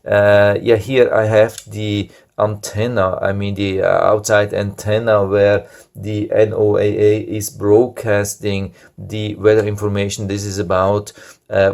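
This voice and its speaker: A man's voice: lowish voice, gravelly, a little mellow. A man giving a casual product review, explaining the features of a device step by step in a plain, matter-of-fact way.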